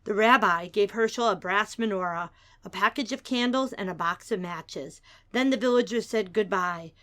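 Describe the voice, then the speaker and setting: A woman's voice: mid-range voice, normal speed, fairly bright tone. A woman narrator giving a scripted, dramatic reading of a storybook tale.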